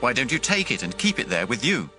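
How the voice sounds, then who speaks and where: A man's voice: mid-range voice, big pitch swings, fast talker, sharp tone, soft background music. A man playing an urgent messenger from an ancient town in a dramatized audio Bible.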